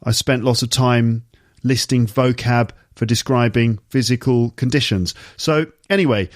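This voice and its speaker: A man with a slight cold, teaching vocabulary on his podcast in a chatty way, repeating key words to make them clear. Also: mid-range voice, fairly even intonation, measured pace, no echo, studio quality. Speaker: English teacher and podcast host. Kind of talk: casual explanatory podcast monologue for learners.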